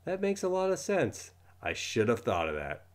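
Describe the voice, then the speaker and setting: A man voicing an excitable, gullible red-haired schoolboy in a storybook, easily outraged and drawing out his words. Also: medium pitch, normal speed. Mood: convinced.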